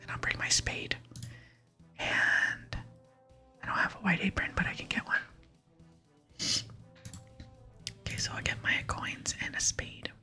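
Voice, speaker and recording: A woman whispering softly and unhurriedly close to the microphone as she hosts an ASMR gaming playthrough. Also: slow pace, long pauses. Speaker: gaming video host. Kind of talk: whispered ASMR game playthrough with reading aloud. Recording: close whisper with music behind.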